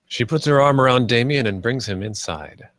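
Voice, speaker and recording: A man's voice: medium pitch, normal speed, a bit bright, no room sound, studio quality. A man reading scripted stage directions as the narrator of a live screenplay table read.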